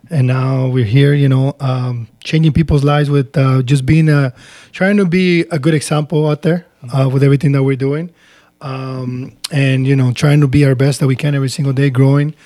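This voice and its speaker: A man's voice: mid-range voice, level tone, brisk, warm tone, no room sound. Earnest middle-aged man from Honduras. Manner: earnest, laughs often, repeats himself. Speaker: guest speaker. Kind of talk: casual interview storytelling.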